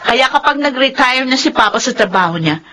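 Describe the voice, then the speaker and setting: Shrill-bright, sassy Filipino little sister. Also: female voice, expressive, a little fast, a little hiss or hum. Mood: taunting. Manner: taunting, exclamatory. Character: sassy, nagging younger sister. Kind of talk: Filipino radio drama acting.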